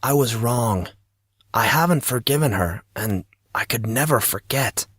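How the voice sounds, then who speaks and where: Man playing a husky, animated teenage schoolboy. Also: mid-range voice, unhurried, no echo, clean studio sound. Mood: remorseful. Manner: informal, youthful slang. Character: teenage schoolboy, emotional and bantering with close friends. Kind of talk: audio drama acting.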